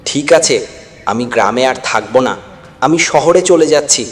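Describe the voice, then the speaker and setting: A man playing an arrogant, quick-tempered young officer son in a moral story, speaking with indignant emphasis. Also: mid-range voice, expressive, lightly acted. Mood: angry, scornful. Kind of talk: dramatized moral story voice acting. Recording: long hall-like reverb.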